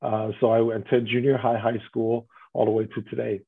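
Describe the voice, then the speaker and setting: A husky, slightly breathy man from Taiwan heard over a thin, phone-like line. He answers as a restaurant guest in an interview, explaining carefully and often adding a quick "right?" to his points. His pitch sits in the middle range for a man. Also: little pitch movement.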